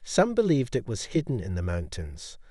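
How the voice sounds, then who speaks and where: A man narrating a scripted bedtime folktale in a dramatic, expressive storytelling manner. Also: medium pitch, normal speed, breathy edge, dry recording, studio quality.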